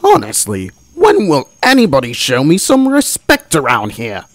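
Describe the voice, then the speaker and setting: A man voicing a grumpy, stubborn male steam engine, squawking high indignant complaints in an amateur storybook reading. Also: wildly swooping pitch, moderate pace, no room sound. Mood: grumbling.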